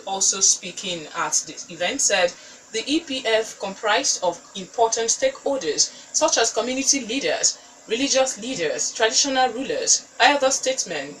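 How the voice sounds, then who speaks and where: A woman's voice: medium pitch, fairly bright tone, distant mic, a little hiss or hum, poor audio. A woman with a Nigerian accent reading scripted news as a newsreader.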